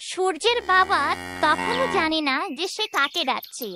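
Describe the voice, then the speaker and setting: A woman's voice: extremely high pitch, expressive, measured pace, over music. A woman giving scripted narration for a children's fairy-tale cartoon.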